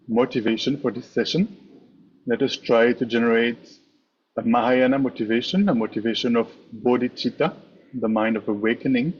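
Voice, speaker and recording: A man leading a guided meditation as a teacher, speaking in measured, instructive short phrases with pauses. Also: medium pitch, darkish tone, dull audio, slight background noise. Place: online meditation session over video call.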